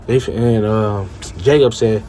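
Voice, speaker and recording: A man's voice: fairly low pitch, measured pace, warm tone, slight background noise. A young adult man telling a story casually, stuttering and repeating himself as he goes.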